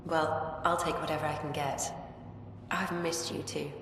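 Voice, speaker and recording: An ailing middle-aged mother in a game flashback, heavily drenched in echo. Her voice is slightly low, affectionate and cheerfully firm. There is faint noise behind her. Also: level tone, moderate pace, a bit bright. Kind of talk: video game cutscene acting.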